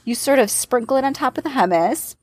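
A woman's voice: high-pitched, lively intonation, brisk, darkish tone, no echo. Chatty woman, dry close sound. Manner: chatty, describes recipes step by step. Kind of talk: casual chat about cookbooks.

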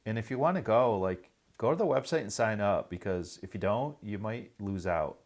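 A man's voice: on the low side, animated, moderate pace, a little mellow. A man hosting a podcast, promoting industry events in a casual, enthusiastic and chatty way.

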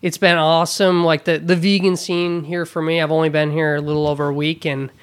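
A man's voice: a little high, flat delivery, fairly quick, clean tone. A man hosting a podcast interview in a hotel room, enthusiastic and full of fillers. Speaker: podcast host.

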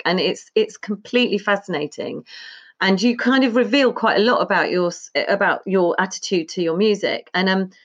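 A woman in her fifties, a podcast guest, chatting casually and rambling a little as she laughs between thoughts. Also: lowish voice. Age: 50s. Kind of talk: casual interview chat. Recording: slightly dull lo-fi sound.

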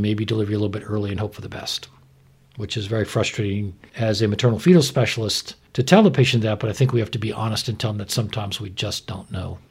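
A middle-aged American man, a doctor, explaining medical matters informally in a frank, measured way. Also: on the low side, darkish tone, husky. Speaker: maternal fetal medicine doctor, podcast expert.

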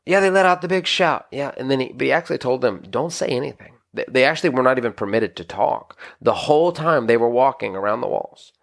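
Man, pastor, gravelly, earnest, conversational, podcast teaching. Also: medium pitch, animated, quick pace, few pauses. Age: adult, married. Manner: earnest, conversational, frequent restarts and "you know".

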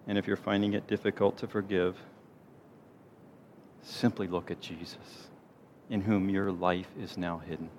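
Low-voiced man leading a gentle guided meditation. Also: little pitch movement. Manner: gentle, unhurried, soothing delivery with pauses. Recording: clear background noise, low rumble.

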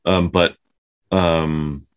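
A man co-hosting a podcast, casually retelling folktales in a halting, self-correcting way. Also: low-pitched, flat delivery, clean tone, dull audio. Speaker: podcast co-host.

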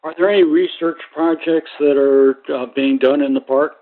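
A man hosting an informal radio interview, phrasing things in a halting, hesitant way. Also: a little high, fairly even intonation, narrow, tinny audio. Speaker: radio interview show host.

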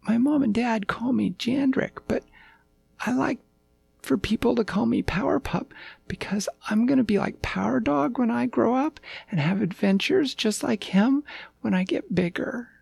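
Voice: mid-range voice, normal speed, vocal fry, a little mellow, husky, pitched above the performer's own voice. An adult storyteller voicing a small, earnest lost puppy who rambles like an adventurous young child. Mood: earnest, childlike.

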